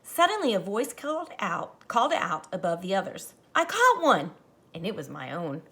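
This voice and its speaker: A woman teacher reading a picture book aloud to children, expressive and dramatic, speaking to them directly. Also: fairly low pitch, moderate pace. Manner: animated, dramatizes the story lines, addresses children directly. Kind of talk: expressive children's read-aloud with explanatory asides.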